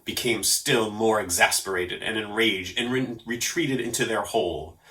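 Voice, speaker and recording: A man reading a story aloud as an expressive narrator. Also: fairly low pitch, moderate pace. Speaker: storyteller for a library story program.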